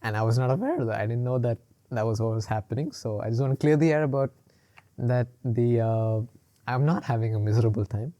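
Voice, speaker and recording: Rambling male podcast host, mellow tone. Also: mid-range voice, brisk, lo-fi sound. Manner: rambling with fillers and frequent laughs. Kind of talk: casual chat.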